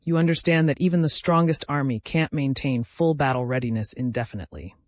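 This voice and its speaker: A man voicing an ancient, cunning, hungry entity in a fantasy story, speaking in a measured, formal, insistent way. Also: mid-range voice, dry recording, close to an everyday voice. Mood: probing.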